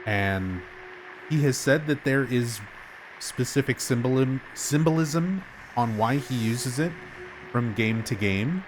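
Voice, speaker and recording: A man's voice: mid-range voice, slow, slight background noise, low-quality recording. A man streaming a game, explaining things casually while nursing a sore throat. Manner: explanatory, chatty, gets animated when amused.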